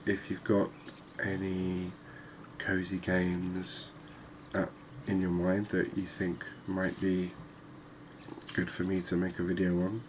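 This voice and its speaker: A man giving calm, unhurried, soft-spoken ASMR gameplay commentary, musing aloud as he plays. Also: low voice, slight background noise. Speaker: gaming video host.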